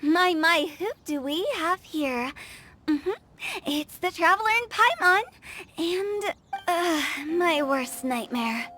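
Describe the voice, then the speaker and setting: A woman voicing a sharp-tongued, playful young funeral director in a video game, theatrical and pointed in her delivery. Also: very high-pitched, expressive, slow pace, over music, heavily acted. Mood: wry, teasing.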